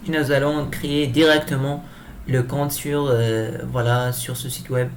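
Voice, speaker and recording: A man giving an improvised software tutorial, speaking hesitantly and often repeating himself as he explains each step. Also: medium pitch, little pitch movement, measured pace. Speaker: tutorial presenter. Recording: clear background noise.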